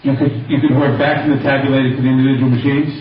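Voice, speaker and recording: Halting male questioner in an echoey hearing room. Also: mid-range voice, level tone. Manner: halting, deliberate phrasing. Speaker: congressman questioning a witness. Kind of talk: formal questioning at a public hearing. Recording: roomy, distant, dull lo-fi hearing audio, faint noise.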